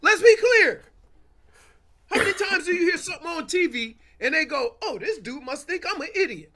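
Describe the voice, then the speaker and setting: Very high-pitched, animated man talking sports. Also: moderate pace, very noisy. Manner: emphatic, colloquial, repeats phrases. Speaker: guest sports analyst on a show.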